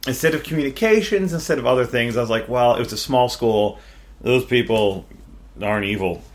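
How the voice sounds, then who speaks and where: A man's voice: mid-range voice, a little fast. A man hosting a casual podcast conversation, talking in a wry, rambling, self-deprecating way. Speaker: podcast host.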